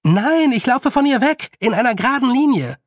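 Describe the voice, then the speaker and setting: A man voicing a determined young girl in a storybook, brisk and stubborn. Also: sits high, darkish tone, dry recording, clean studio sound, voice pushed up. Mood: defiant, hurried.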